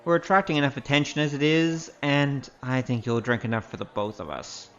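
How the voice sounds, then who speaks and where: A man's voice: medium pitch, fairly quick, soft background music, natural voice. A man playing a wry, cocky wandering gambler in an audio drama, sardonic and teasing. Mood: wry, needling. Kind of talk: audio drama acting with spoken self-narration. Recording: dull, slightly noisy audio.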